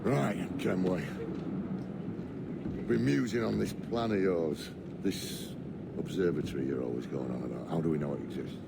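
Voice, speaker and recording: An actor playing a bitter, hot-tempered, foul-mouthed pirate captain with a gravelly voice in a video game cutscene. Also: male voice, sits low, normal speed, long pauses, very noisy. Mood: musing, scornful.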